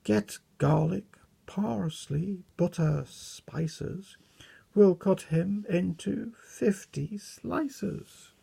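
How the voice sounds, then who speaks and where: Storybook mob of greedy cooks, excited and eager, husky and dark, voiced by the reader. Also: medium pitch, very slow, full character voice.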